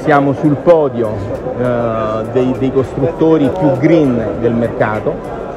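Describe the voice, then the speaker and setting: A man's voice: mid-range voice, takes it slowly, loud music behind the voice, over a noisy crowd. A man, a car company executive, answering interview questions confidently and fluently at a busy motor show.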